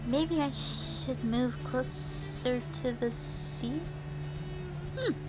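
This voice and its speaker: A woman voicing a sassy, cute, playful lamia in a roleplay audio, chatting bluntly and haltingly to the listener. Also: high voice, rough recording. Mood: friendly, excited. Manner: chatty, halting, blunt teasing with eager bursts. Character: sassy, cute female forest lamia, playful half-snake monster. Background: background music.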